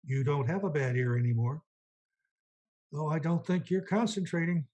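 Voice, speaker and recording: A man playing a husky, gentle apprentice angel. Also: fairly high pitch, moderate pace, dull audio, natural voice. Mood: earnest, coaxing. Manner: earnest, quaint and polite. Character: gentle, eager, naive male apprentice guardian angel. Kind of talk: 1940s-style radio play acting.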